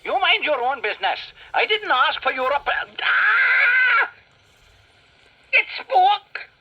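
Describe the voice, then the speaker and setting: A man doing a shrill screeching witch woman. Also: big pitch swings, slow pace, raised voice, highs cut off, exaggerated voice. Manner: snappish, scolding.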